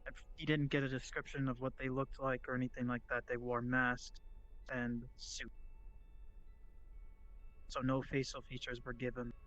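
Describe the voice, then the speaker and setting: A man who speaks quietly and matter-of-factly while roleplaying in a virtual world. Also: medium pitch, flat delivery, moderate pace, pauses often, lo-fi sound, soft background music. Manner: quiet, level, matter-of-fact. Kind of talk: in-character roleplay chat.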